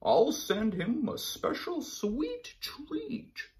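A hearty, generous sun bear from a picture book, acted by a man reading aloud. The words stretch out and the pitch leaps about in a lively way. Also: extremely slow, heavily acted. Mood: sympathetic. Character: generous, honey-loving male sun bear in a storybook.

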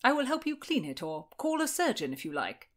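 A jaunty Tudor gentleman, played by a woman narrator, boastful and impossible to ruffle. He announces himself with flourish and coaxes like a fond uncle in a historical audiobook. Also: very high voice, huge pitch swings, brisk, voice pushed up, full character voice. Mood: breezily soothing. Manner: flourishing, grandly self-announcing, cajoling. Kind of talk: audiobook character dialogue.

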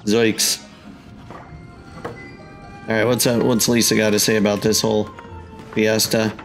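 A man's voice: lowish voice, flat delivery, unhurried, faint music behind. A man hosting a casual gaming let's-play, with playful interjections and exclamations. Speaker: gaming host.

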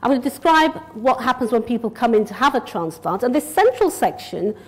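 A woman's voice: a little high, very animated, brisk, slightly rough. Direct middle-aged woman giving a lecture. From Yorkshire, England. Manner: direct, organised, conversational. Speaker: consultant nephrologist. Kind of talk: public lecture. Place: lecture room, indoors. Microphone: lectern mic.

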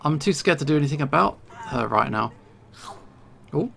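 A gamer giving jittery, nervous let's play commentary, thinking out loud while playing. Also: mid-range voice, expressive, normal speed, creaky, background noise. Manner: nervous, jittery self-talk. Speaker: video game streamer.

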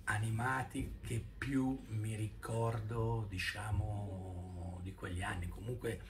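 A man's voice: fairly low pitch, level tone, slow. A man in his fifties reminiscing casually to camera, hesitant and often searching for words. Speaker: vlogger. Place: indoor room at home. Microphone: camera held at arm's length. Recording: noisy amateur phone-style video recording.

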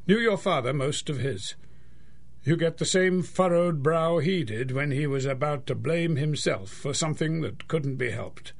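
A man playing a kindly, frank older family doctor in a radio drama, measured and husky. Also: medium pitch. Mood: reassuring. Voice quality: gravelly, husky. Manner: measured, gently reassuring. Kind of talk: 1930s-style radio drama acting.

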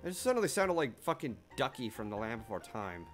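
Lively, swearing streamer narrating his game. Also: medium pitch, quick pace, soft background music. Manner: excitable, swears casually. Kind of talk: live game commentary.

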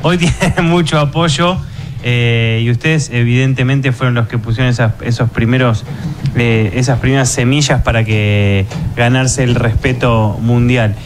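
A man's voice: mid-range voice, steady tone, a little slow, background noise. An Argentine man hosting a radio talk show, asking long conversational questions in the studio. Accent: Argentine Spanish. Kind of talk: radio interview. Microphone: studio microphone.

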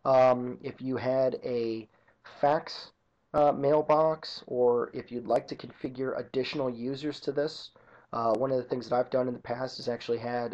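A man narrating an informal software tutorial as an instructor, explaining each step methodically. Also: mid-range voice. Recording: dull lo-fi computer screen-recording audio.

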